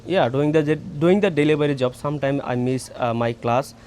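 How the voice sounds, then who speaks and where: A man with a Bangladeshi accent, an international student, speaking halting English with frequent fillers in an interview. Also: on the high side, darkish tone, slight background noise. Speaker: international student and delivery worker.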